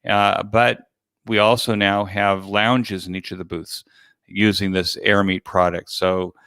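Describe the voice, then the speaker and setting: Low, smooth-voiced man explaining things conversationally. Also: flat delivery, a little slow, fairly bright tone, no room sound, studio quality. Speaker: interview guest, online event organizer.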